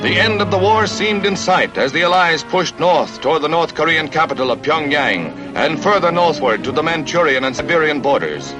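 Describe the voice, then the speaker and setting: A man's voice: on the high side, lively intonation, moderate pace, few pauses, rough recording. An American man narrating a scripted 1950 newsreel in a dramatic, grave, declamatory manner. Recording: vintage 1950 newsreel soundtrack with music.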